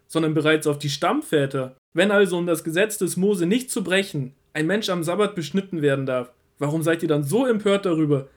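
A man playing an earnest, commanding male teacher preaching in an audio drama, declamatory and deliberate. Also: fairly high pitch, close to an everyday voice.

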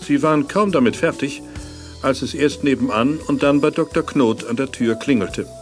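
Male narrator, mid pitch, scripted audio drama storytelling, prominent music underneath. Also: moderate pace.

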